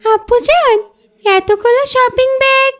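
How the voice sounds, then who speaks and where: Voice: very high-pitched, measured pace, a bit bright. A performer voicing a polite, curious little girl in a cartoon. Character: rich man's young daughter, polite, curious little girl. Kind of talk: cartoon voice acting.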